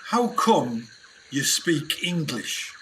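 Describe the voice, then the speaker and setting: Male actor as eager explorer sidekick, high-pitched, very animated, wide-eyed, radio drama. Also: extremely slow, a little hiss or hum, exaggerated voice. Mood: bewildered. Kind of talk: radio play acting.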